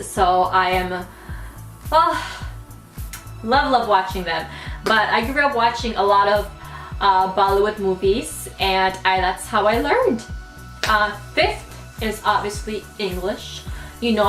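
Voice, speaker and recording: A woman's voice: mid-range voice, a bit bright, roomy sound. A young woman, chatting casually to camera as a YouTuber, playful and full of fillers. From United States.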